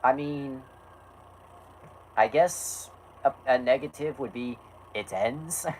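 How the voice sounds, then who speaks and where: A man's voice: mid-range voice, slow, a little mellow, a little hiss or hum, poor audio. A man from the United States with a sore throat, chatting casually and theatrically. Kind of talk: casual film review chat.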